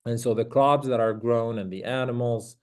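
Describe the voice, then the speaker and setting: A man from Iraq, giving an academic seminar talk over a video call in an earnest, conversational way, pausing to search for words. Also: medium pitch, steady tone, a little mellow. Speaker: guest speaker.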